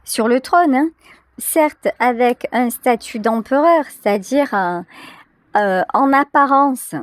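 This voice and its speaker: A woman giving a tarot reading straight to the listener, reflective and pausing to search for her words. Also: high voice, no echo. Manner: hesitant, reflective, searching for words with pauses and repetitions. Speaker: tarot reader, channel host. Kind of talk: intuitive tarot reading addressed to the viewer.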